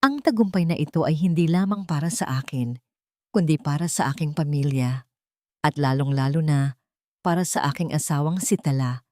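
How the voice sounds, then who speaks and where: A woman's voice: low-pitched, a little mellow, no room sound, clean studio sound. A woman voicing a pompous businessman husband making a formal speech in a drama story.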